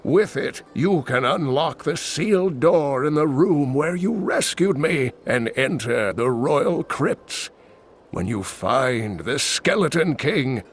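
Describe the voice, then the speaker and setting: An actor playing an old male scholar who tells lore in a slow, measured way. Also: fairly high pitch, lively intonation, a little hiss or hum. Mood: grave. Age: older.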